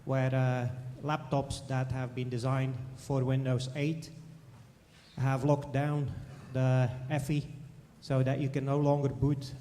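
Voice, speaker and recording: A man in the audience asking a question from the floor, measured and hesitant, with fillers. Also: mid-range voice, flat delivery, dark tone. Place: large lecture hall. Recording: long hall reverb, faint noise.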